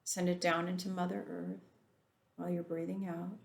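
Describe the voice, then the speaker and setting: A woman leading a guided meditation in a softened, soothing voice, drawing out slow breathing instructions. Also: lowish voice, flat delivery, creaky, roomy sound.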